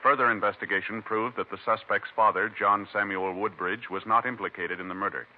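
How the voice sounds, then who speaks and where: Low-voiced formal radio announcer man. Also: steady tone, fairly quick. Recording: tinny vintage radio broadcast, music behind.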